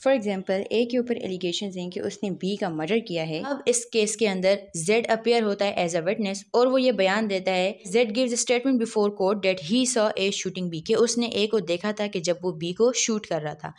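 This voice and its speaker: A woman's voice: mid-range voice, a little slow, few pauses, a little mellow. A woman with a Pakistani accent giving an explanatory lecture, teaching by posing questions and then answering them. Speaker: law lecturer. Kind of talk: explanatory lecture, narrated over slides.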